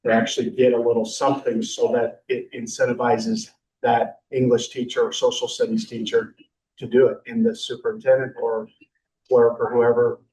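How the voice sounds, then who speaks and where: A man's voice: mid-range voice, flat delivery, moderate pace, darkish tone. Man chairing a meeting in an echoey room. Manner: chatty, rambling, with light joking. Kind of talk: council meeting discussion. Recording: echoey room from a distant mic.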